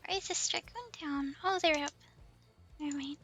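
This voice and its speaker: A woman's voice: sits high, steady tone, moderate pace, a bit bright. A woman livestreamer giving casual, easily flustered commentary as she talks herself through a game. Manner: giggly, easily flustered, self-talking. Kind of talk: casual live gameplay commentary. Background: background music.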